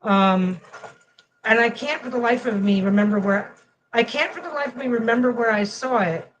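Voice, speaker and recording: A woman's voice: on the high side, moderate pace, dull audio, a little hiss or hum. Rambling woman chatting while she sews. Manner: rambling, restarts phrases often. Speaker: quilting vlogger. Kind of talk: casual chat while sewing.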